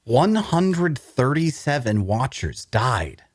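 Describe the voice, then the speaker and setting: A man's voice: mid-range voice, sing-song, takes it slowly, no echo, clean studio sound. A man playing a curious, wounded captive who asks questions hesitantly in a fantasy drama reading. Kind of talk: dramatic fantasy table read.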